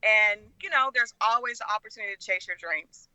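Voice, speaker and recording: A woman's voice: medium pitch, brisk, sharp tone, no echo, lo-fi sound. A middle-aged American woman from Oklahoma, telling personal stories conversationally as a guest in an interview. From Oklahoma, United States. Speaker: talk show guest, media personality and relationship expert.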